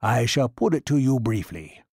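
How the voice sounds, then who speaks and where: A man's voice: mid-range voice, very animated, moderate pace, a little mellow, slightly rough, no echo, studio quality. A man voicing a proud alien warrior chieftain addressing a council formally. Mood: proposing.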